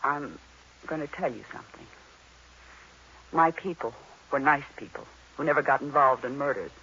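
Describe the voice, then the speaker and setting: An actress playing a cool, tough, sardonic femme fatale in an old-time radio noir drama. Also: female voice, rumbling low, normal speed, sharp tone, full character voice. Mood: bitter resolve. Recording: hissy, dull vintage radio recording.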